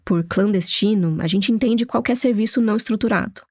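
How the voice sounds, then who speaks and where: Steady Brazilian woman reporter narrating. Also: mid-range voice, a little fast, breathy edge, no echo, studio quality. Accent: Brazilian Portuguese. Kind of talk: scripted narration.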